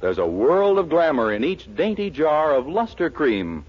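A man's voice: on the high side, big pitch swings, moderate pace. A man working as a radio show announcer, reading scripted sponsor copy in a polished, salesman-like 1940s style. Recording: dull 1940s radio broadcast recording.

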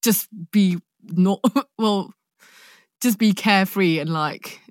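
A woman's voice: medium pitch, slow, dry recording, clean studio sound. A woman from London with a London accent, chatting informally and expressively, reflective with playful asides. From London, England, born in Nigeria. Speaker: podcast guest, actor. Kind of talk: casual chat.

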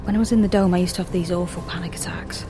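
A woman's voice: on the low side, steady tone, racing, close to an everyday voice. An actress playing a wary, sardonic young woman on the run in an audio drama, with a dry, questioning manner. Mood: uneasy. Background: music.

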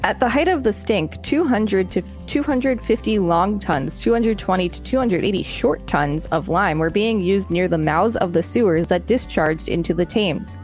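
A woman co-hosting a podcast, reading an article aloud and bantering in a deadpan, teasing way. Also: medium pitch. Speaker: podcast co-host.

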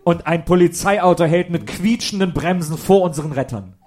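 A man's voice: a little high, moderate pace, a little mellow. A man reading scripted narration for a comedic audio drama.

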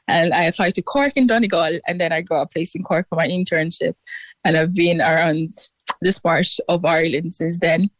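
A woman's voice: fairly low pitch, normal speed, dry recording. Chatty young woman doctor from Nigeria. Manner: chatty, animated. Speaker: doctor, radio guest. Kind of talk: radio phone-in chat.

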